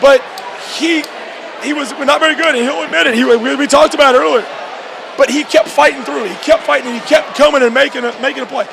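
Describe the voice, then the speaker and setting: High-pitched, gravelly male coach, crowd noise behind. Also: fairly even intonation. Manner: repetitive, halting restarts mid-phrase. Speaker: high school basketball coach. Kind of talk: postgame radio interview. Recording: noisy gym with loud crowd behind. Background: crowd and clear background noise.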